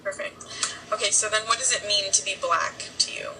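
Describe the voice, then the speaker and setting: A woman's voice: medium pitch, fairly quick, recorded from a distance, background noise. A woman conducting an interview, asking rambling questions full of likes.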